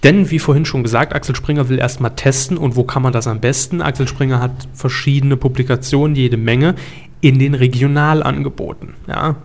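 A man's voice: mid-range voice, steady tone, a little fast. Man presenting a media talk, methodical. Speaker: host of a media news talk show. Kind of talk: explanatory conversational presenting.

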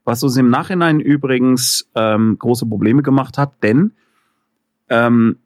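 A man in a relaxed interview spins his stories with lively energy, full of fillers and laughter. His voice sits in a middle range, and the recording is dry and close. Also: mid-range voice, unhurried, no echo. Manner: animated storytelling with fillers and laughs. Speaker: interview guest, filmmaker. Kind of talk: casual interview chat.

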